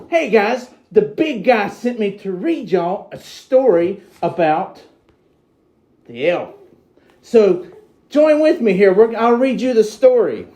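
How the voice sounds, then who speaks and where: An excitable Christmas elf, played by a man, reads a children's storybook in a high, swooping voice. The delivery is slow, theatrical and childlike, with exclamations. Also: full character voice.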